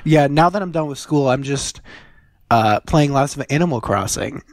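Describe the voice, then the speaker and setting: A man's voice: medium pitch, expressive, normal speed, no echo, clean studio sound. A man in his twenties chatting casually as a podcast co-host from home. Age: 20s. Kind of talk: casual chat. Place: room at home on a video call.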